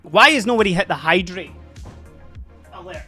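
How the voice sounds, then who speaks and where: A live-streaming miniature painter chatting casually and giggling a lot while painting, a little hoarse from laughing. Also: medium pitch, expressive, normal speed, bright, forceful tone, soft background music. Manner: chatty, giggly, rambling, addresses chat.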